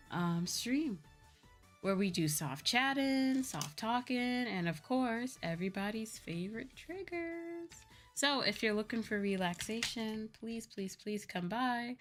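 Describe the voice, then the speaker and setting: A woman livestreamer chatting casually to her viewers in a playful, self-deprecating manner. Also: a little high. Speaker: livestreamer, VTuber.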